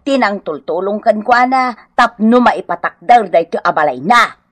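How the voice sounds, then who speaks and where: A woman's voice: mid-range voice, expressive, moderate pace, fairly bright tone, no echo. A woman acting an aggrieved elderly mother-in-law in a radio comedy drama, plaintive and reproachful, with an Ilocano accent. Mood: hurt, reproachful.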